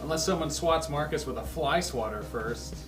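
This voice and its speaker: A man's voice: fairly high pitch, normal speed, lightly acted. Table-read comedy: male performer as dry, snippy heavyset pal, off the mic in an echoey room. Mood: incredulous. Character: overweight, self-deprecating, snippy male comedy sidekick. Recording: roomy distant mic, music behind.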